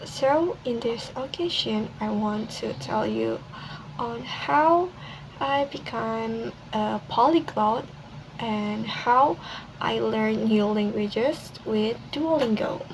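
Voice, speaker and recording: A young woman from Indonesia, a university student with an Indonesian accent, presenting casually in a second language with many pauses and restarts. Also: fairly high pitch. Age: young adult. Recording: steady background noise.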